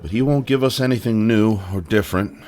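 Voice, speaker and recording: Deep-voiced, blunt actor as a police detective. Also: male voice, fairly quick, a little mellow, natural voice. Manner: plain-spoken, blunt, emphatic. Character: weary, blunt male police detective, middle-aged. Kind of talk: naturalistic audio drama acting.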